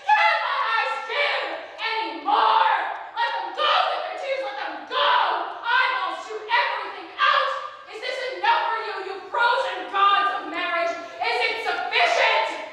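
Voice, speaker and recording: A woman acts out a self-condemning king of Greek tragedy, raging in anguish. The delivery is loud, strained, very high and piercingly bright. It rings through a big reverberant stage hall. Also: measured pace. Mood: anguished fury. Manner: declamatory, anguished, accusing. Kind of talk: theatrical classical tragedy stage acting. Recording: echoey stage hall, recorded from far off.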